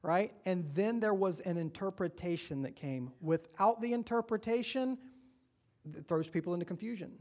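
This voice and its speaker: High-voiced Southern American pastor. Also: male voice, normal speed, darkish tone. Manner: conversational, asks the congregation questions. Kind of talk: sermon. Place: church.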